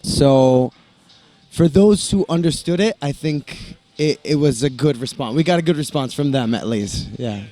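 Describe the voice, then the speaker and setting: Hesitant young man with a mellow voice. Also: on the high side, a little slow. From United States. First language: English and Italian, bilingual. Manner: hesitant, many restarts and fillers. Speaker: band member, interview guest. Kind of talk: casual interview talk.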